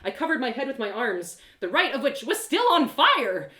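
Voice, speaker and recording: A woman voicing an excitable, plucky young girl adventurer who narrates her quest with dramatic, colloquial asides. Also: a little high, moderate pace, echoey room, voice pushed up. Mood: panicked. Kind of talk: first-person dramatic story narration.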